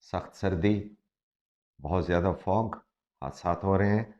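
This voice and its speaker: A man talks as a doctor giving health advice, his voice sitting low and his throat slightly sore. He explains things earnestly and switches into English to stress a point. The sound is muffled and lo-fi. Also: unhurried, darkish tone. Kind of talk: health advice talk to camera. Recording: muffled lo-fi recording.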